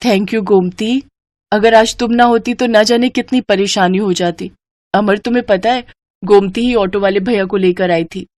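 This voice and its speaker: A woman voicing a young pregnant wife in an animated story, speaking politely and gently. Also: mid-range voice, moderate pace, lightly acted. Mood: grateful.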